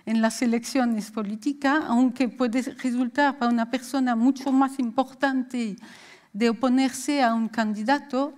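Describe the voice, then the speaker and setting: A Belgian woman with a French accent giving a popular science talk in a measured, hesitant way. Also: a little high, a little mellow. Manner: measured lecturing with frequent hesitations. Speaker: science speaker. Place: stage of a lecture hall.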